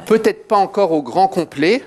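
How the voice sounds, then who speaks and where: A man's voice: high voice, lively intonation, moderate pace, crowd noise. A man presenting a chat show on a studio set in a playful, teasing and theatrical way. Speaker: talk show host.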